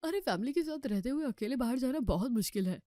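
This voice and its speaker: A woman's voice: fairly high pitch, animated, quick pace, dark tone, no echo, clean studio sound, close to an everyday voice. Woman voicing a sulky, scheming young husband. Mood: sulky, indignant. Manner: complaining, exasperated.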